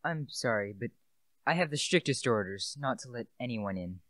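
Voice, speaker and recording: A man's voice: mid-range voice, expressive, a bit bright, dry recording, studio quality, close to an everyday voice. Teenage boy playing a deferential, hesitant butler. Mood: apologetic. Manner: formal, hesitant, halting. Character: deferential, cautious male butler. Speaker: student actor. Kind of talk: radio play acting.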